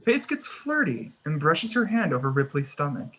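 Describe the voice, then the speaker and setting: Slightly husky screenplay narrator. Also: medium pitch, big pitch swings, moderate pace, a bit bright. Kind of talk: scripted narration, reading screenplay action lines.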